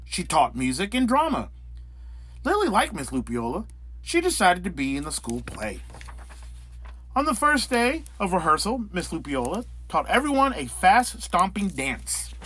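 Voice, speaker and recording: A man narrating a children's picture book in a theatrical, exaggerated, deliberate way. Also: high-pitched, big pitch swings, a bit bright, slight background noise. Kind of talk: animated scripted picture-book reading.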